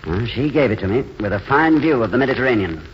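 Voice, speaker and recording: A male actor plays a gentle, weary intellectual who mocks his own failures, in vintage radio drama style. His delivery is courteous and hesitant, swinging widely in pitch. The sound is muffled, with faint noise from the old broadcast. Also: lively intonation, quick pace, lightly acted. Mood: wry, self-mocking. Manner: courteous, hesitant, wryly self-deprecating. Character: gentle, world-weary, self-mocking failed writer and drifter. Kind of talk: 1940s radio drama acting.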